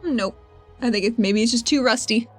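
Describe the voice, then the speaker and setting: A woman's voice: a little high, moderate pace, soft background music. A woman chatting casually as a player in a tabletop roleplaying game.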